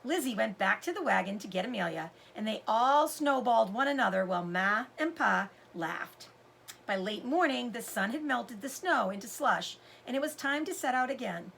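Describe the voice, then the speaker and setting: A woman giving an expressive read-aloud narration of a children's novel. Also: a little high, moderate pace, gravelly, husky.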